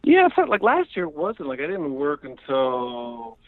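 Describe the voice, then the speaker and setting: A man in his fifties, a guest actor on a podcast, joking about himself in a relaxed, wry way over the phone. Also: on the high side, measured pace, crowd noise. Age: 50s. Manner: wry, self-mocking, laughing easily. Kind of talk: casual podcast chat by phone. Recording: muffled phone line.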